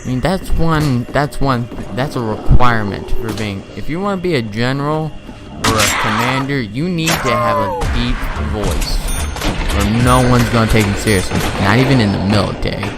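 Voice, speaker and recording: A man's voice: medium pitch, normal speed. Rambling teen guy narrating a game. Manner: rambling, chatty, joking asides. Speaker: gaming video host. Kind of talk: casual gameplay commentary. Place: home, recording at night. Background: game sounds and music.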